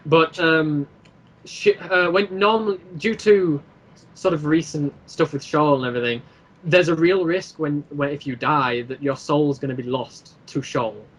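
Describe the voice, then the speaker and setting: Guy with a kinda higher voice on a podcast, rambles about his own stuff, repeats himself and trails around. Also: rough recording. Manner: rambling, halting, repeats phrases as ideas form. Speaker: guest, creator of an audio drama series. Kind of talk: casual podcast chat, explaining own work.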